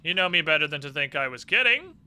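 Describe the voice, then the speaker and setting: A man's voice: on the high side, big pitch swings, fast talker, bright, forceful tone, clear, resonant tone, exaggerated voice. Smug, flippant ex-villain, voiced by a male streamer. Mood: teasing. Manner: casual, mocking paraphrase of game dialogue. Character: smug, flippant male ex-military villain turned uneasy ally.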